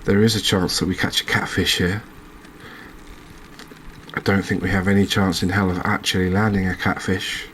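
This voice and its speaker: A man giving soft-spoken, unhurried ASMR commentary as he plays a game, musing aloud to his viewers. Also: low-pitched, little pitch movement, slight background noise, poor audio. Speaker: game streamer, host.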